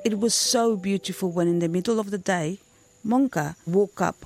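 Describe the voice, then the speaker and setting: A woman's voice: medium pitch, moderate pace, darkish tone, faint music behind. A woman giving a translated first-person voice-over, telling a story as an interpreter.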